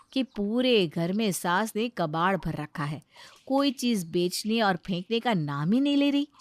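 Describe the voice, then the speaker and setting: A woman's voice: mid-range voice, no echo. Female narrator for scripted comic domestic tales; mid-range voice, dry recording.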